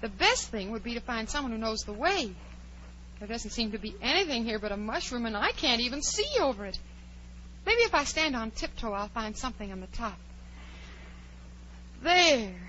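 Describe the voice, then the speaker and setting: A woman's voice: fairly high pitch, very animated, normal speed, long pauses, bright, forceful tone. A woman playing a curious, chatty, plucky young girl who wonders aloud in a 1940s radio drama. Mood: puzzled, polite. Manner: wondering aloud, exclaiming, polite. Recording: dull, hissy 1940s radio recording.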